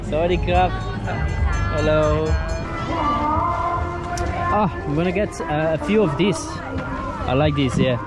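A young man speaks slowly and cheerfully to a handheld camera at arm's length, his voice a bit higher than most. Loud music and a thick crowd fill the outdoor night market around him. He chats casually, laughing often. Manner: chatty, upbeat, laughs often, tries a few local words. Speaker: travel vlogger. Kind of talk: casual vlog chat.

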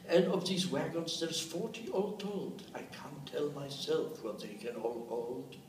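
A man's voice: a little high, a little slow. An elderly man in his eighties, a translator presenting and reciting verse expressively, with rhythmic emphasis. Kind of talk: expressive poetry recitation with spoken commentary. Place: indoors, meeting room. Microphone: camera or phone a short distance away. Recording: roomy, distant mic with faint noise.

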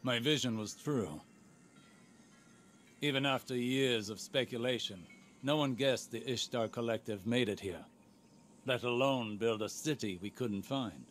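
A man voicing a grave scholar and mentor in a video game, speaking with deliberate seriousness and urgency. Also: medium pitch, a bit bright, faint music behind, natural voice. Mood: grave, intent. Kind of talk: video game dialogue acting.

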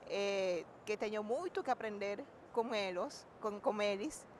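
Woman, Spanish accent, halting, reflective, faint background noise. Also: medium pitch, darkish tone. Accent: Spanish-speaker's Portuguese. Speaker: panel guest. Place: large indoor event hall. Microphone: handheld mic.

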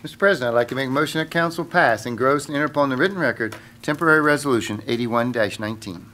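A middle-aged man speaks formally as a city council member from his desk microphone. There is faint noise behind him, and his pitch sits in an ordinary range. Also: racing, sharp tone. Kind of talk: formal meeting remarks. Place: large council chamber. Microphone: gooseneck desk microphone.

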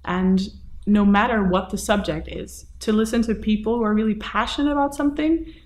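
A young woman speaking to camera as host, gentle and earnest in a plain indoor room. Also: medium pitch, fairly quick. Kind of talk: casual talk to camera.

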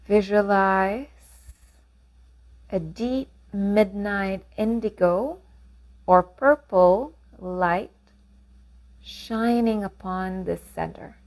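Female meditation guide, mid-pitched voice, very slow calm delivery, gently instructive, generous pauses. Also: poor audio.

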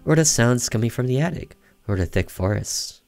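A man reading a story aloud as expressive narration for a game, occasionally stumbling over the words. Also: mid-range voice, moderate pace, vocal fry, no room sound. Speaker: gaming streamer reading a visual novel aloud. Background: music under the voice.

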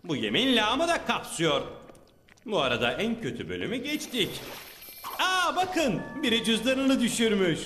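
A man's voice: high-pitched, big pitch swings, a little slow, sharp tone, exaggerated voice. A man voicing a goofy, overconfident blue male superhero in a dubbed cartoon, jokey and bouncy. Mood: cheerful. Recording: echoey reverb with music.

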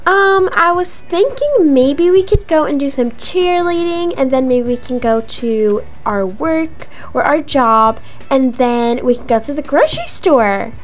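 Casting: chatty teen schoolgirl acted by a young woman, very high voice, casual game roleplay delivery over background music. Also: expressive, a little slow, few pauses. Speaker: roleplay video creator. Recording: music behind the voice.

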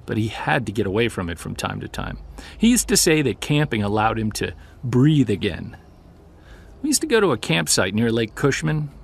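A man's voice: medium pitch, vocal fry, slightly rough, heavily acted. A man acting as a witness who recounts an unsettling childhood memory in a hesitant, conversational audio drama style. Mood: nostalgic, reflective. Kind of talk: naturalistic audio drama storytelling.

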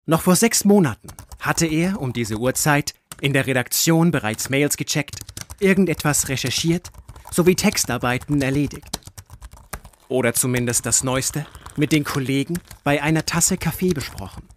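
A man's voice: fairly high pitch, normal speed, a little hiss or hum. A man reading scripted literary narration as the narrator of an audio story.

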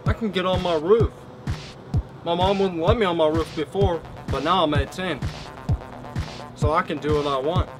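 A man's voice: fairly high pitch, normal speed, long pauses, loud music behind the voice. Goofy, boastful eighteen-year-old guy. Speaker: vlogger. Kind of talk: comedy vlog. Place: bedroom with gaming setup.

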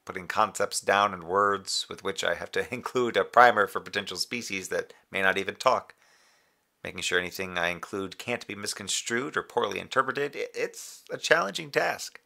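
An actor playing a dutiful, wry moonbase communications officer in an audio drama, articulate and formal with an anxious edge. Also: male voice, lowish voice, moderate pace, vocal fry, pushed and bright. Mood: composed, earnest. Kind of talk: scripted audio drama radio log.

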